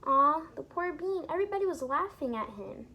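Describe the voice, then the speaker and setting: A woman reading a picture book aloud to young children in an expressive, teacherly way, pausing to explain things and put questions to the listeners. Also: high-pitched, a little hiss or hum. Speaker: children's storybook reader and teacher. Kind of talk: animated read-aloud for young children with asides explaining words.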